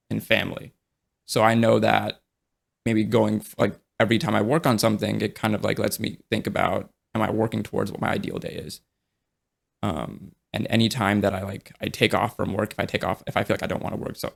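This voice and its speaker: A young man in casual podcast conversation, talking in a relaxed, rambling way with many fillers and restarts. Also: on the low side, gravelly.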